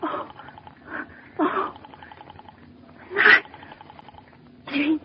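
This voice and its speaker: An actress playing a nervous, demanding, highly strung invalid wife with a stammering delivery, in 1940s radio thriller style. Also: female voice, drawn-out words, full character voice. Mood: anguished, tearful. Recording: muffled, noisy 1940s radio recording.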